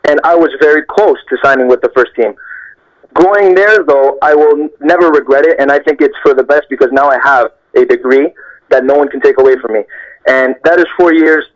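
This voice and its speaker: A man's voice: on the high side, a little fast. Earnest young man on a muffled phone line. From Canada. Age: young adult. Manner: earnest, repeats phrases for emphasis. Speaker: interview guest, professional footballer. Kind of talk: phone interview, reflective storytelling.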